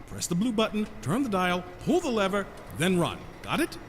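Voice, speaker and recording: An actor in an audio drama playing a glib, teasing gentleman genius, sardonic and mock-polite in his wordy delivery. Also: high-pitched, big pitch swings, normal speed, faint music behind. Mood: brisk, sardonic.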